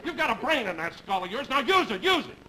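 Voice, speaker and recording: A man's voice: extremely high pitch, big pitch swings, quick pace, sharp tone, heavily acted. An American man playing a proud, pushy, short-tempered announcer father in an old television comedy sketch. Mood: exasperated, angry. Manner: emphatic, blustering. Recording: noisy 1950s television broadcast with audience.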